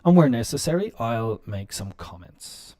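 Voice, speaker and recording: A man teaching business English on a live stream, explaining slowly with many "um"s and "uh"s. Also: mid-range voice, animated, mellow. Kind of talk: live-stream language lesson.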